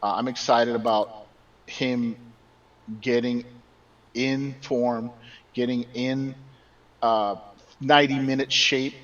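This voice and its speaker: A man's voice: medium pitch, fairly even intonation, slow pace, long pauses. Man, emphatic sports co-host, trips over repeated words; sounds far off and dull, like a remote line. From Mexican background. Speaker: podcast co-host. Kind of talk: casual sports chat. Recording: dull distant remote audio.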